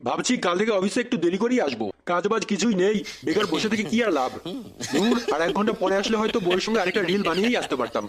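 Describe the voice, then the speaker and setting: A man's voice: sits high, animated, rapid-fire, a crowd in the background. A man voicing a smug, bribe-seeking government clerk in a comedy cartoon, sly and officious in manner. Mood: idle. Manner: sly, officious, matter-of-fact. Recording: loud music behind the voice.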